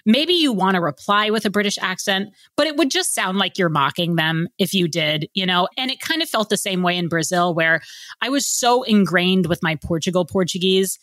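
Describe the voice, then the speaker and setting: A woman's voice: on the low side, normal speed, dry recording. An American woman in her thirties, a podcast guest telling travel stories in casual chat and laughing often. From United States. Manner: animated storyteller, laughs often.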